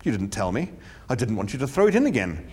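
A man's voice: medium pitch, very animated, fast, darkish tone, breathy edge. A man voicing a breezy, eccentric wanderer who speaks in an airy, offhand way. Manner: airy, offhand, lightly lofty.

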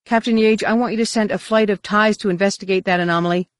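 Woman voicing a smooth, commanding male knight. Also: medium pitch, steady tone, fast, no echo, clean studio sound, voice pushed up, close to an everyday voice. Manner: smooth, authoritative. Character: calm, commanding male space knight, firm leader.

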